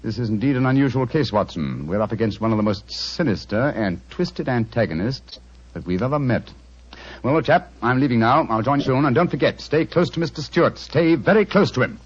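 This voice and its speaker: An actor playing a brisk, masterful gentleman detective with a British accent and clipped, commanding delivery in a 1940s radio drama. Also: mid-range voice, animated, slightly rough. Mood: urgent, commanding. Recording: dull, muffled vintage radio recording.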